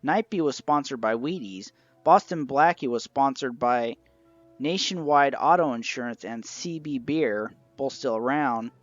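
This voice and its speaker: A man's voice: mid-range voice, unhurried, dry recording. Guy doing a casual collection review voiceover, kinda dull muffled recording, music in the back. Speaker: reviewer of an old radio show collection. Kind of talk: informal review narration.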